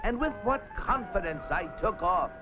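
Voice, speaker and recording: Boastful little martian explorer, old cartoon, high swoopy voice, earnest and fawning. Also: male voice, lively intonation, normal speed, loud music behind the voice. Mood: boastful, excited. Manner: earnest, grandiose, speech-making with flustered hesitations. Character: eager, boastful, obsequious Martian space explorer. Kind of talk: 1950s animated cartoon narration in character. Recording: muffled 1950s film soundtrack.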